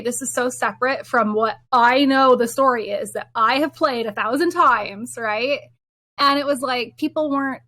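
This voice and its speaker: A woman's voice: on the high side, fairly bright tone. A woman talking casually on a panel podcast, explaining her view and correcting herself as she goes.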